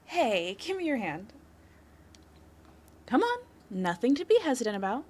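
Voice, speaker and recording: A woman's voice: a little high, big pitch swings, unhurried, darkish tone. A woman playing a confident, flirtatious criminal suspect in an audio roleplay, teasing the listener with a coy, playful delivery. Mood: soothing, coaxing.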